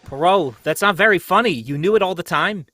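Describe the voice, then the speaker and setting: A man plays an easily flustered police inspector in a radio drama. His voice is high and forceful, jumping around in pitch as he blusters and stammers. The delivery is urgent and exclamatory. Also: expressive, fairly quick, no echo. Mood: shocked. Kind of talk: old-time radio mystery acting.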